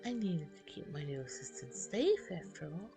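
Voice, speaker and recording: A woman voicing a sweet, cheerful and confident young priestess in a story, with a gentle, polite, perky manner. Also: deep voice, big pitch swings, normal speed, creaky, music in the background, heavily acted. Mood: teasing, reassuring. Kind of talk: storybook character voice.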